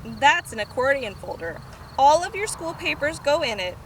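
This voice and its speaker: A woman's voice: high voice, big pitch swings, moderate pace. A woman voicing a bossy, organized young boy in a children's storybook, fussy and emphatic. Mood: instructive. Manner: instructing, emphatic, screeching when upset. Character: bossy, organized boy, fussy cousin who panics easily. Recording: noisy outdoor camera recording.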